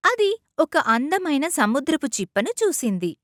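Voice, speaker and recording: A woman's voice: high-pitched, sing-song, unhurried, no room sound, clean studio sound. A woman narrating scripted moral stories for children as a storyteller.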